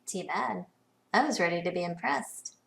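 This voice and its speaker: Creaky-voiced, sardonic businesswoman. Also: lowish voice, lively intonation, normal speed. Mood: cool, sardonic. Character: savvy, sardonic businesswoman, cool and poised negotiator. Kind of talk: video game dialogue acting.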